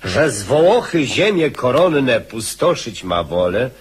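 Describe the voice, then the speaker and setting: Raspy, stately chronicler. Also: male voice, mid-range voice, big pitch swings, heavily acted. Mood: stately, formal. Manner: formal, measured. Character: formal, stately chronicler reading an official account. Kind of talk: radio drama narration.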